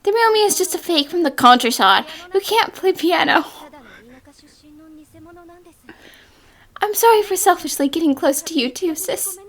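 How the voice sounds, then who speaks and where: A woman's voice: extremely high pitch, animated, a little fast. A woman voicing a shy, self-deprecating, remorseful young countrywoman in an anime dub. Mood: apologetic. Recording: background music under the dialogue.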